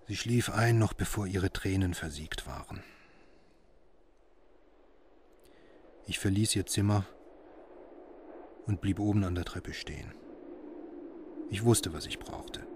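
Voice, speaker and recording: A bereaved father in a radio play, bitter and unravelling. His voice sits fairly low and often drops to a halting hush. Clear background noise runs behind him. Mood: subdued narration. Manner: halting, often hushed and half-whispered. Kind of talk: audio drama acting, alternating intimate inner monologue and dialogue. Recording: noticeable background noise and ambience.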